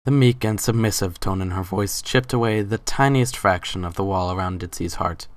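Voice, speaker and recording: A man's voice: low-pitched, normal speed. A man narrating a scripted story as a dramatic reading.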